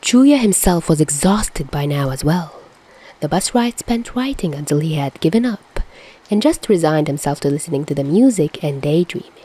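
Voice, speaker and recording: A woman's voice: deep voice, animated, moderate pace, mellow, slightly rough, rough recording. A woman reading a scripted story as a narrator, with music behind her. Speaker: fan fiction podfic reader.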